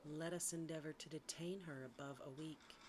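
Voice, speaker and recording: Storybook schemer voiced by a woman: spiteful young elder sister, envious, low register, sly delivery, slightly noisy audio. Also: fairly even intonation, moderate pace, lightly acted. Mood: scheming.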